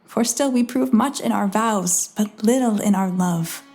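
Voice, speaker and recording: A woman playing an earnest young page, a girl disguised as a boy, in a Shakespearean audio drama, gently insistent. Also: medium pitch, unhurried, faint music behind, lightly acted.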